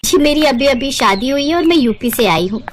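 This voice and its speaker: A woman voicing a polite young newlywed in an animated storybook tale, respectful, earnest and eager. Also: high voice, brisk, a little hiss or hum, pitched above the performer's own voice.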